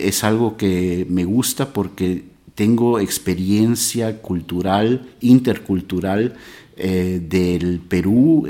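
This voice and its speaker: A man from Peru with a very deep voice introduces himself at an unhurried pace. His first languages are English and Spanish. He speaks as an integration guide, pausing often to find his words. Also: mellow. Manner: slow, measured, with frequent hesitations. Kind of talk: personal self-introduction.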